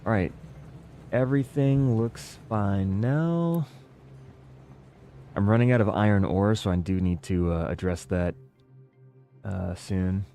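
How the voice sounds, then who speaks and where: Low mellow guy chatting thru his game, laid back, quiet music behind. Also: slow, long pauses. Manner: relaxed, musing, self-doubting asides. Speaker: gaming video creator. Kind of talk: casual gameplay commentary.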